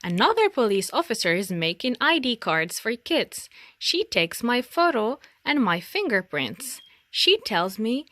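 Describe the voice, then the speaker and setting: Slow, animated woman reading a children's story. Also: on the high side, no room sound, clean studio sound. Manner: deliberate, expressive storytelling. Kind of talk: picture book read-aloud for young children.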